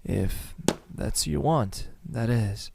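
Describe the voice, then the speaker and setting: A young man playing a menacing student kidnapper in an ASMR roleplay, calm and smug, speaking softly and deliberately into a close microphone. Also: mid-range voice, noisy background. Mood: condescending menace. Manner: soft, deliberate, breathy sighs, dropping into whispers.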